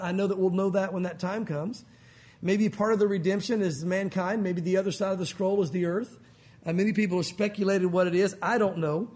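A male pastor with a loud voice, preaching in a conversational, emphatic way. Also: sits high. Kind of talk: sermon.

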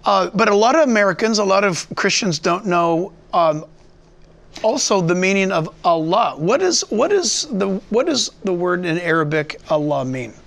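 A man's voice: high voice, lively intonation, normal speed, vocal fry, a bit bright. A man hosting a talk show, asking pointed rhetorical questions and often repeating words as he thinks. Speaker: host. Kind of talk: conversational talk show interview.

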